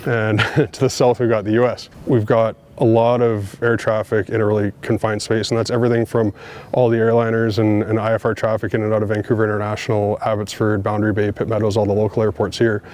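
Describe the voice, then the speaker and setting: A man's voice: lowish voice, little pitch movement, quick pace, a little mellow. A man explaining aviation informally, as a pilot would, with music behind him. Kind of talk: informal explanatory interview talk.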